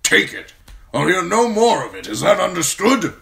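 A man voicing a blunt, gruff old military lord who gives brusque orders, in an audiobook reading. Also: high-pitched, sing-song, normal speed, pushed and bright, faint music behind, voice pushed up, heavily acted.

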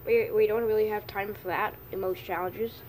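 A woman's voice: medium pitch, fairly even intonation, normal speed, a little mellow, a little hiss or hum, natural voice. A woman playing a brash, playful polar bear in an amateur cartoon skit, blunt and teasing.

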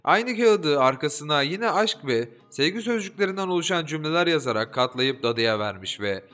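A man narrating a fairy-tale audiobook in an expressive, lilting storytelling manner. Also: on the high side, normal speed. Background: soft background music.

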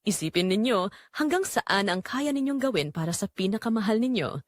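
A woman with a Filipino accent reads dramatic first-person scripted narration as a storyteller, in an emotional, expressive way. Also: medium pitch, a little fast, dry recording, studio quality.

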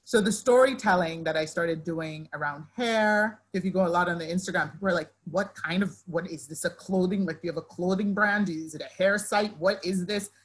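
A woman's voice: fairly low pitch, a little fast, few pauses, breathy edge. A woman in her thirties who grew up in Nigeria, a fashion designer speaking on a video call from her living room, talking with her hands and full of restarts. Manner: animated, talks with her hands, many likes and restarts. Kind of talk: casual panel conversation. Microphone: laptop webcam on video call.

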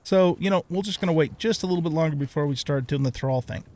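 Older American male gaming host, somewhat higher-pitched voice, folksy and easygoing, casual let's play narration. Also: quick pace, dark tone. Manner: folksy, easygoing, thinks aloud.

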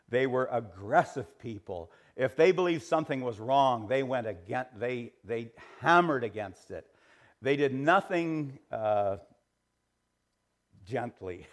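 Middle-aged guy teaching church history, mid pitch, lots of ums, trails off soft. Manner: animated, gesturing, many fillers and restarts, trails off quietly. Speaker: Sunday school teacher. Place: small church sanctuary, indoors.

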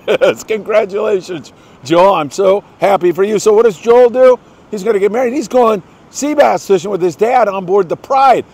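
An older American man talks through a fishing report in a slightly high-pitched voice. He is chatty and folksy, with plenty of enthusiasm. His delivery is casual, like a show host speaking straight to regular listeners. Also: a little mellow. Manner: chatty, enthusiastic, folksy, congratulating people by name.

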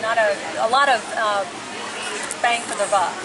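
Woman host, middle-aged, gushing and upbeat, bright high voice, loud crowd noise behind. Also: normal speed, rough recording. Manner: enthusiastic, gushing praise. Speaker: show host shopping a market. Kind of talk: upbeat shopping-show interview. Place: large indoor antiques market hall.

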